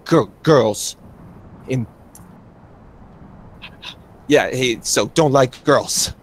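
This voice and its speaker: A man voicing a distressed man who stammers and struggles to get his words out in a tabletop game. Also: mid-range voice. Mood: anxious, struggling. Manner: halting, stuttering, struggling to speak. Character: distressed stammering wheelchair-bound man warning a traveller.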